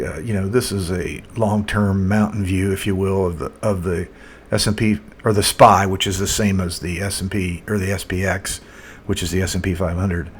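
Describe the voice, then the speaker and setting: A man presenting a casual, unscripted chart commentary as a market analyst, thinking aloud in a halting way. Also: low voice, husky. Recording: noticeable background noise.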